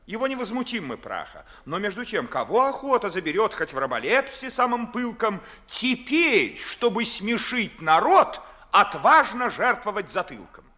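Sardonic gentleman orator, high ringing voice. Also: sing-song, normal speed, exaggerated voice. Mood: mocking, impassioned. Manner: mocking, oratorical, crisply phrased. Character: sardonic, eloquent, ardent freethinking gentleman. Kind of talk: theatrical 1950s radio verse declamation. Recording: 1950s Soviet radio play recording.

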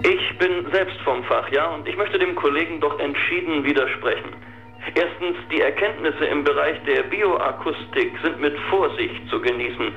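An actor playing a cautious scientific expert who objects in an emphatic, didactic manner. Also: male voice, fairly high pitch, muffled recording. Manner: emphatic, didactic, tagging phrases with "ja". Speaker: expert commentator.

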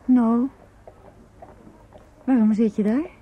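An actress playing a composed middle-aged mother in a radio play, chatting gently in an unhurried, conversational way. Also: a little high, fairly even intonation. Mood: tired, gentle. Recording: dull, muffled old radio recording.

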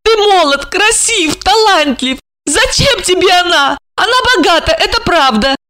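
A woman's voice: high voice, a bit bright, voice pushed up. A woman voicing a young, jealous mistress in an audiobook, theatrically emotional, mocking and pleading by turns. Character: young scornful, jealous, pleading mistress, spiteful and hot-tempered.

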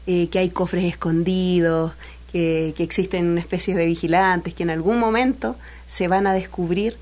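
A woman storyteller explaining legends on a radio show, thoughtful and unhurried. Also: mid-range voice, a little mellow. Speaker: storyteller guest.

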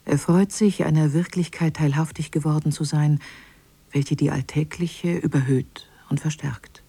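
Soft, husky man reading reflectively. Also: on the high side, steady tone, a little slow. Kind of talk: literary quotation reading.

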